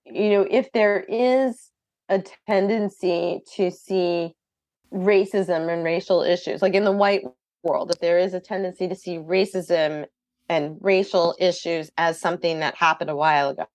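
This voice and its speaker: A woman's voice: fairly low pitch, darkish tone, dry recording. A middle-aged woman, a guest author, answering podcast interview questions in an earnest, thoughtful way.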